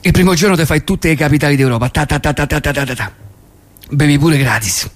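A man's voice: on the high side, fairly quick, lightly acted. A man performing a nostalgic, grumbling old grandfather in a comic monologue, with a Roman accent and a stammering, repetitive, sweary way of talking. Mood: wry storytelling. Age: older. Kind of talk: comic monologue at a live reading.

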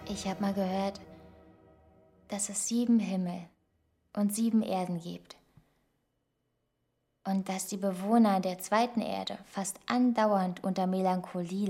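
Mellow reflective woman telling stories, kinda melancholy, measured radio play monologue. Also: medium pitch. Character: reflective, melancholy female storyteller of cosmic tales.

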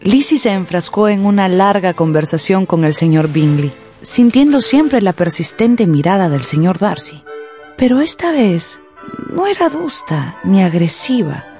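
A woman narrating a radio drama in a scripted, dramatic style, with deliberate, suspenseful phrasing. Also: mid-range voice, animated, mellow, faint music behind.

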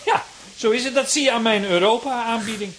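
An actor in a radio play playing a breezy, cynical bachelor brother who gets defensive. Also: male voice, very high voice, brisk, a bit bright. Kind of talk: Dutch radio play acting. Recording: faint kitchen sizzle and clatter.

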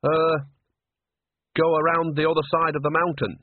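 A man voicing a cheerfully pushy old witch woman, folksy and bright in manner, in a storybook reading. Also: on the high side, flat delivery, moderate pace, dry recording, narrow, tinny audio, voice pushed up, close to an everyday voice. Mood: awkward.

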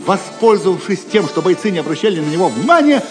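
A man's voice: high voice, big pitch swings, fast talker. A man narrating an audio drama in a dramatic scripted storytelling style, with music beneath him. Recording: music under the voice.

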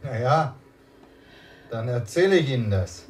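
An actor playing a young husband in a radio drama, offhand and needling, with colloquial, sardonic delivery. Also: male voice, mid-range voice, animated, measured pace, a little hiss or hum, lightly acted. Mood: offhand, grumbling. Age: young adult. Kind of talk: German radio drama acting.

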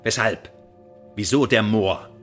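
An actor playing a sardonic spy interrogator in a German radio drama, mock-polite and smugly threatening in elaborate official phrases. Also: male voice, fairly low pitch, takes it slowly, a bit bright, soft background music. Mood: smug, probing. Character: menacing, sardonic male interrogator for a spy service.

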